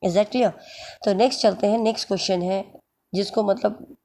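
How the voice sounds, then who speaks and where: Hoarse indian teacher lady, slow and gravelly, dark mellow voice, keeps asking if it's clear. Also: mid-range voice, fairly even intonation, slight background noise. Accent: Indian English. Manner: slow, explaining word by word, checks understanding. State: hoarse throat. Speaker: English literature teacher. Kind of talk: exam-prep lecture in mixed Hindi and English.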